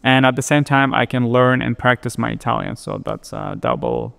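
Ukrainian-accented young man, smooth voice. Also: medium pitch, moderate pace, big-room sound. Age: young adult. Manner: relaxed, explanatory, frequent fillers. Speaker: web designer and YouTuber. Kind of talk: screen-share tutorial. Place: indoors by a large window.

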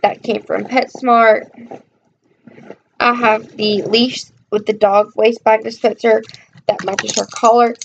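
A chatty young woman talks her way through a show-and-tell from her bedroom, rambling and filling pauses with ums. Her voice sits at an ordinary pitch. It comes through a dull, lo-fi webcam mic with a faint hiss underneath. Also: creaky. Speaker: vlogger. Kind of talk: casual show-and-tell vlog. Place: bedroom, indoors. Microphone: webcam at close range.

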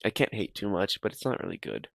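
A man's voice: on the low side, wildly swooping pitch, fast talker, vocal fry, no room sound. A young man giving casual, rambling reaction commentary as a host, often repeating his phrases. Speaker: host ranking horror videos.

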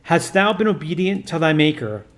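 Stern exorcist priest , read by a man: loud, formal, commanding, a bit high in pitch. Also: sing-song, moderate pace. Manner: loud, commanding, formal old-fashioned speech. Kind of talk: audiobook reading.

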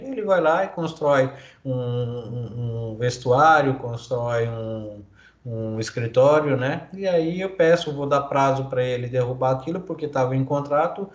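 A man's voice: medium pitch, normal speed. A man with a Brazilian Portuguese accent, a law professor giving an online lecture, reading legal articles aloud and explaining them with many fillers and tag questions. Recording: echoey, dull lo-fi call audio.

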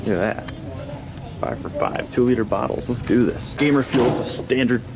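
A man's voice: mid-range voice, normal speed, heavy background noise. A young man co-hosting a casual vlog, bantering playfully while shopping in a big store, recorded on a handheld camera. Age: young adult. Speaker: vlog co-host. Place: large store.

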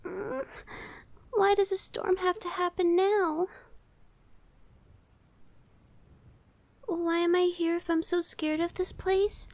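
A woman voicing a timid, anxious young female gargoyle in an ASMR roleplay, soft, girlish, hesitant and stammering. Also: very high voice, flat delivery, measured pace, creaky, no room sound, heavily acted. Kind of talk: ASMR audio roleplay acting.

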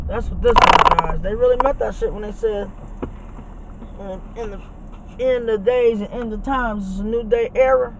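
A man's voice: high-pitched, normal speed, muffled recording. A man talking casually to his viewers while driving a truck, with hype slang and swearing, recorded on a dashboard camera. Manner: loud hype talk with slang and profanity. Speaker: vlogger. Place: inside a moving truck. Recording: loud road and engine noise.

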